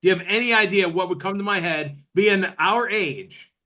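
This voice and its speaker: A man's voice: sits high, moderate pace, sharp tone. A man in his late thirties hosting a podcast, chatting casually and rambling with lots of fillers. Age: 30s. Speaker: podcast host. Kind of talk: casual chat.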